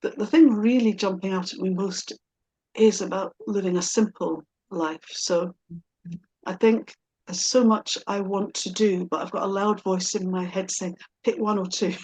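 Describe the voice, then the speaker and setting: A middle-aged woman talking casually and reflectively, thoughtful and a little hesitant, often pausing to find her words. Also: mid-range voice, fairly even intonation, darkish tone, poor audio. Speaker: guest in a personal conversation.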